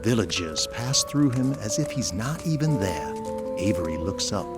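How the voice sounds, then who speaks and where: A man's voice: on the low side, very animated, normal speed. A man reading scripted, present-tense narration for an audio drama. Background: music.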